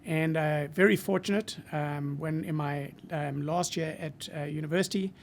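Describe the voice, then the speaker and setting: Zimbabwean-accented man in his sixties, measured. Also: a little high, steady tone, creaky, a little hiss or hum. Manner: reflective, measured, frequent hesitations. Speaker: marketing professor, guest. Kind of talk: podcast interview.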